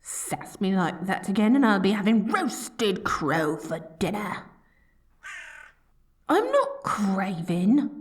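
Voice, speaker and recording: A woman's voice: medium pitch, big pitch swings, slow pace, slightly rough, no room sound, studio quality, full character voice. A woman playing a haughty, dorky evil queen in a scripted ASMR roleplay, swinging between grandiose proclamations and flustered stammering. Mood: dramatic, nervous. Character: dorky, theatrical evil queen, haughty yet secretly smitten.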